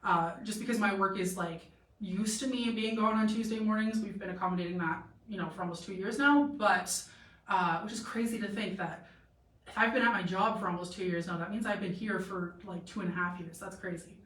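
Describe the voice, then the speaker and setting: A woman's voice: medium pitch, steady tone, fairly quick, fairly bright tone. A chatty young woman from Canada rambles cheerfully through a clothing try-on, full of "like" and "um." Her throat is a little sore. She stands back from the camera, so her voice sounds distant and roomy. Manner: chatty, rambling, lots of "like" and "um" fillers. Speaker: boutique model and live-stream host. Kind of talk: casual live-stream try-on chat. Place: boutique room with a clothing rack. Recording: echoey room, voice from a distance.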